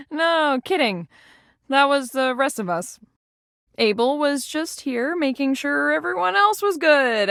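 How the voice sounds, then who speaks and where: A woman's voice: fairly high pitch, no room sound, clean studio sound. A woman playing an easygoing, teasing, party-loving friend in a video game, casual, joking and a little rambling. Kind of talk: video game character voice acting.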